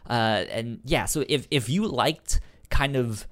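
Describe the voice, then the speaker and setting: A man co-hosting in casual chat, halting and hesitant, with a stutter that repeats sounds and words. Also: mid-range voice. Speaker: podcast co-host. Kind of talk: casual chat recommending comics and shows.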